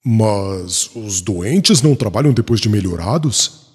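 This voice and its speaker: A resolute, zealous convert voiced by a man declaims earnestly in an audiobook drama. The husky voice moves widely up and down in pitch and rings with long hall reverb. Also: animated, measured pace, full character voice. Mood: grave, questioning.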